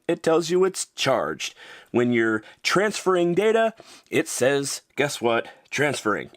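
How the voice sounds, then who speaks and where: A man's voice: medium pitch, dry recording. A man with a North American accent narrating a casual gadget review in an offhand, informal, slightly wry way. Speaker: product reviewer.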